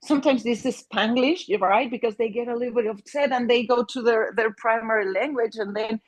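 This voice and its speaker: Woman, middle-aged, Mexican accent, Spanish native, therapist in interview, earnest, restarts often, husky voice, higher pitch. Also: fairly even intonation, fairly quick. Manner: earnest, many restarts and fillers. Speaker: family therapist. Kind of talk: interview conversation.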